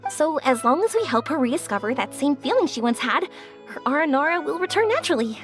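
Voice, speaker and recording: A young video game heroine with a high, gentle voice. She sounds earnest, caring and encouraging, as if comforting someone younger. Soft music plays behind her. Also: very animated, fast. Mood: earnest, thoughtful. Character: kind, reassuring young woman, earnest and caring. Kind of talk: English video game voice acting. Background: game background music.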